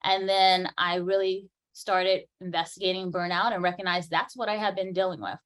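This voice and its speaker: Earnest, animated woman hygienist speaking. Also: lowish voice, normal speed. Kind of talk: conference talk.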